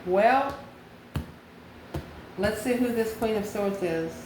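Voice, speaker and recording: A woman's voice: mid-range voice, lively intonation, slow. A woman giving an intuitive tarot reading to the viewer, musing aloud in short, repeated phrases and questions. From United States. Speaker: tarot reader, channel host. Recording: echoey room with clear background noise.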